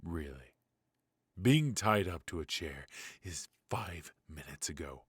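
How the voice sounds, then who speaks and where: A man playing a weary, ruthless yet fatherly mafia boss in an intimate ASMR roleplay, with a low, husky, breathy voice and a wry, sighing delivery. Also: lively intonation, no echo, clean studio sound, heavily acted. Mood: dry, probing. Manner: slow, pausing, sighing, wry.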